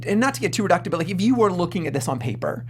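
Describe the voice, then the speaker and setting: A man's voice: sits high, sing-song, very fast, over music. A man on a review panel talking casually and critically, often interrupting himself as he argues his point. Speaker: show panelist. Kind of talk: casual critical film discussion.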